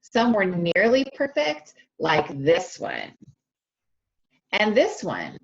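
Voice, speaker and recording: A woman reading a picture book aloud to children, expressive and stretching key words, often stopping to ask them questions directly, on a slightly dull lo-fi recording. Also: medium pitch, takes it slowly, creaky. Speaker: speech-language pathologist reading to children. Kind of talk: animated children's read-aloud with questions to listeners.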